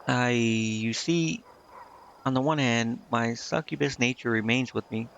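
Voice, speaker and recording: A man's voice: medium pitch, measured pace, vocal fry, a bit bright, slight background noise. A man streaming a game, commenting casually and reading dialogue aloud in a hesitant way, stumbling over words. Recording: slightly muffled, music behind. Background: game music.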